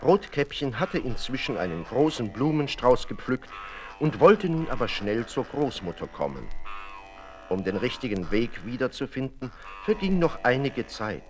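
A man narrating a fairy tale in a children's audio play, expressive storytelling. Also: mid-range voice, moderate pace, faint music behind.

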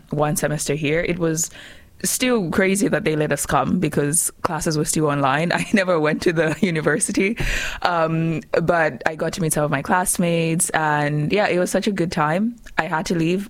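A young woman in her twenties from Zambia, chatting as a radio guest, laughing often and acting out her own past thoughts. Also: deep voice. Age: 20s. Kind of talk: casual interview chat.

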